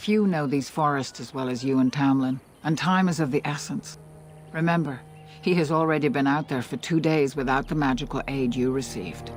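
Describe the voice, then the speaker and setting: A woman playing a grave, commanding older elf healer who leads her clan in a fantasy game, speaking formally and with measured solemnity. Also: a bass voice, slight background noise. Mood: worried, urgent. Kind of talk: fantasy game dialogue acting. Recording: music behind the dialogue. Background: background music.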